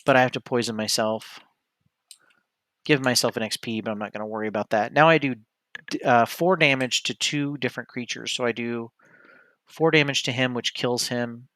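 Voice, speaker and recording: A man's voice: mid-range voice, normal speed, fairly bright tone, dry recording. A man streaming solo board game play, talking casually through each move and often correcting himself as he decides. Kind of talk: casual play-by-play commentary, thinking aloud.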